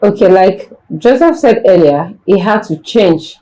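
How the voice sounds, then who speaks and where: A woman's voice: medium pitch, expressive, unhurried, dark tone, low-quality recording. A woman with a Nigerian accent hosting a talk show, asking questions in a playful, teasing way. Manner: playful, teasing, slips into pidgin. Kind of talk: casual interview.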